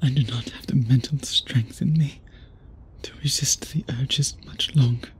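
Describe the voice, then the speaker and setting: A man playing an obsessive, courtly vampire suitor in an intimate ASMR roleplay, breathy and husky, with formal old-fashioned phrasing. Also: mid-range voice, a little slow, lightly acted. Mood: strained, hungry longing. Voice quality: breathy, husky, often whispery.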